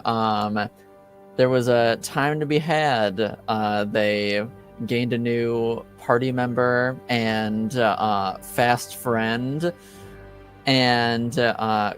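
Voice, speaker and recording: A man hosting a tabletop game as game master, recapping casually and often searching for words. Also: mid-range voice.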